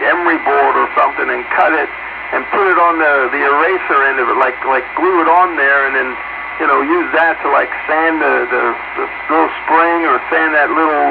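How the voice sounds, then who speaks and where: A man in a casual radio chat with a hesitant, halting delivery. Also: fairly high pitch, gravelly. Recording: noisy, muffled radio transmission.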